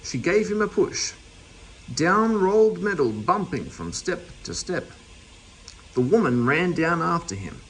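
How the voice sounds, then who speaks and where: Male storybook narrator, very animated intonation, gravelly edge, slightly noisy recording. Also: fairly high pitch, unhurried. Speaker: storybook reader. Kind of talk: children's story read aloud.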